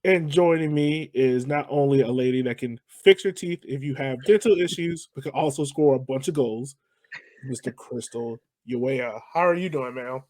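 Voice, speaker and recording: A man's voice: medium pitch, normal speed, darkish tone, no room sound. A man hosting a podcast, chatting informally and jokingly with a guest in a casual interview style. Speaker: podcast host.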